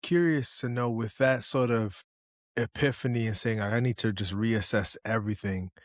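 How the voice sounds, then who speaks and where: A man hosting a podcast, asking curious questions in a halting way, repeating words as he starts. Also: fairly low pitch, fairly even intonation, no echo, studio quality. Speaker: podcast host. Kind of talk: casual interview questions.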